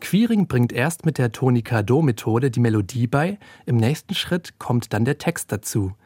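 Husky male reporter. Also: medium pitch, lively intonation, moderate pace, a little mellow, dry recording, studio quality. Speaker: radio reporter. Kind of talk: scripted feature narration.

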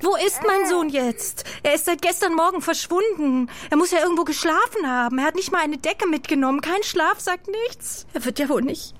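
A woman's voice: extremely high pitch, lively intonation, normal speed, hardly any pauses, a bit bright. A woman playing an anxious, fretting mother in a children's radio drama. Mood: anguished.

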